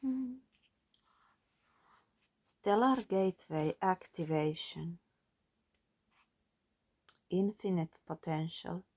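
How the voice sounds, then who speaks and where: Slow, low-voiced woman with a gravelly edge. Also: expressive, darkish tone. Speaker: tarot and oracle card reader. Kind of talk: spiritual card reading, reading guidebook text aloud.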